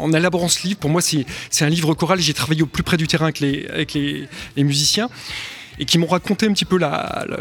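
A man's voice: on the high side, fairly bright tone, husky. A man who is an author, a guest on a radio interview, explaining at length and often stumbling over repeated words.

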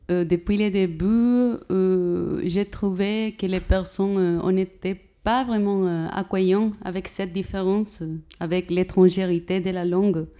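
A woman who is not a native French speaker answers interview questions slowly, with frequent hesitations. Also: on the low side, warm tone. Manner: halting learner's French, many hesitations.

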